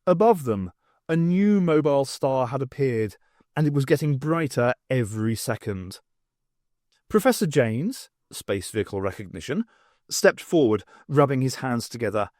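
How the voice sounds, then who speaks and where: Guy reading a story audiobook, lots of pitch movement, close dry recording. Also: animated, moderate pace, a little mellow, dry recording. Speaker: audiobook narrator. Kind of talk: scripted story narration.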